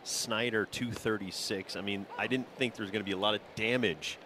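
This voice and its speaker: Lower-voiced male baseball analyst, conversational. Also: fairly even intonation, brisk, background noise. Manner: conversational, explanatory. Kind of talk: live analytical sports commentary.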